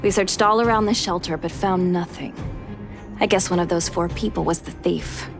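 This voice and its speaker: An actress voicing an earnest, loyal female miner in a video game, calm and explanatory. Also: on the low side, normal speed, over music, natural voice. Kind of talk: measured video game dialogue.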